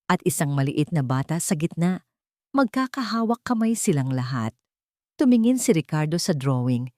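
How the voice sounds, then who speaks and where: Female storyteller for romantic audio drama, lowish voice, measured dramatic phrasing, dry studio-like sound. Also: dark tone, no room sound. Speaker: storyteller, narrator. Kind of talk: scripted narration of a sentimental audio drama.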